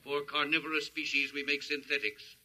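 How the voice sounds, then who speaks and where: An actor voicing a cold, literal-minded alien in a 1950s radio drama, speaking stiffly and formally with precise diction. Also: male voice, on the high side, level tone, fairly quick, bright, forceful tone, low-quality recording. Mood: detached, matter-of-fact.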